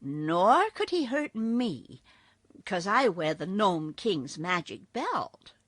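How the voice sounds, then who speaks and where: A woman voicing a brave, caring little girl in a read-aloud storybook, high and eager. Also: big pitch swings, slow, no echo, clean studio sound. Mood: earnest.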